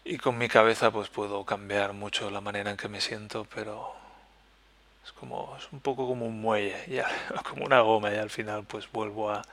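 A man from Spain with a Spanish accent, hosting a podcast and talking softly and haltingly about his feelings. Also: on the low side, little pitch movement. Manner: soft-spoken, introspective, halting. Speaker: podcast host. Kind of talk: personal reflective monologue. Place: living in Germany.

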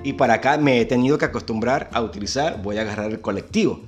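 A man's voice: mid-range voice, quick pace, soft background music. A man from Venezuela with a Venezuelan accent, chatting casually, telling stories and laughing often. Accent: Venezuelan Spanish. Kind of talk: casual chat.

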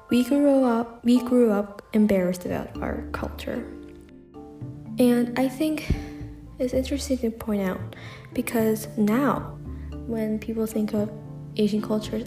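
A woman's voice: mid-range voice, dark tone. A young American woman hosting a personal solo podcast, speaking reflectively with frequent "like" and "um" fillers. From United States. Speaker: podcast host. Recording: echoey room with faint music.

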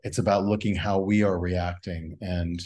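A man's voice: deep voice, little pitch movement, normal speed, a little mellow. A man speaking as a guest in a reflective spiritual conversation, musing and asking a string of rhetorical questions. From United States.

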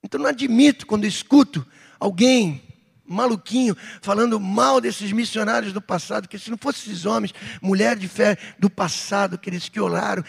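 A middle-aged Brazilian man preaching a sermon as pastor, with fervent outbursts and softer reflective stretches. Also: sits high, creaky, slightly rough. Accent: Brazilian Portuguese. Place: church hall. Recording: long hall reverb.

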